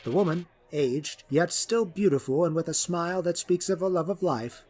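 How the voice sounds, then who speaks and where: A man with a husky voice narrating a scripted fantasy story. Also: fairly high pitch, moderate pace, a little mellow, slight background noise.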